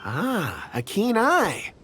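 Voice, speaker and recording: Animated, affable young rock expert. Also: male voice, sits high, extremely slow, a little hiss or hum, exaggerated voice. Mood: absorbed, admiring. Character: affable, eccentric young male rock expert, polite and curious. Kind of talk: video game character acting.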